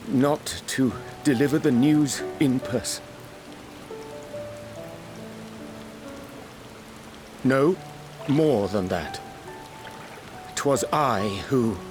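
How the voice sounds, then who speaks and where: An actor playing a guilt-ridden young scholar in a fantasy game, solemn and halting, with formal archaic diction. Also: male voice, mid-range voice, lightly acted. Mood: remorseful. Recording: rain and music behind. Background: rain, music.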